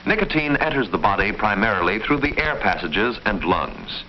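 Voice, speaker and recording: A man reading formal, measured, didactic scripted narration in the style of a mid-century educational film. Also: lowish voice, sing-song. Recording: muffled, noisy old film soundtrack.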